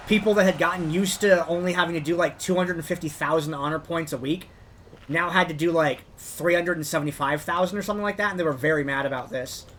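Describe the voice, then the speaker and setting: A man with a higher-than-usual voice tells a long, rambling story while he plays. He strings his thoughts together with constant "like" fillers. A faint hiss sits under his talk. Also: a bit bright. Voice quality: describes his own voice as higher than a deep bass beat. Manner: rambling, heavy use of "like" as filler. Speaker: gamer narrating his own playthrough. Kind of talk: casual storytelling commentary while playing.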